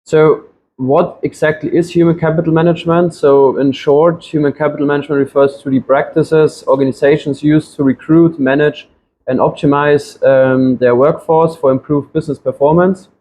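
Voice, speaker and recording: A man's voice: on the high side, steady tone, moderate pace, mellow, slight background noise, low-quality recording. A man, giving a classroom presentation with frequent ums and restarts. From Germany. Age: 20s. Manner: hesitant, many ums and restarts. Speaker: student presenter.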